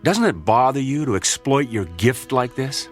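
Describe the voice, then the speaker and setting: Brief: skeptical probing doctor, played by a man, expressive, dry sarcasm. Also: mid-range voice, moderate pace, soft background music, close to an everyday voice. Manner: blunt, questioning, sardonic. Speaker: fellow doctor. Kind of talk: old-time radio drama acting.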